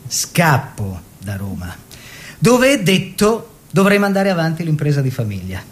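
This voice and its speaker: Young well-to-do painter: higher-pitched, very animated, expressive stage monologue, relishes each short phrase. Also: male voice, a little slow. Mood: proud, confiding. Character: eager young bourgeois painter fleeing the family business. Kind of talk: theatrical radio monologue.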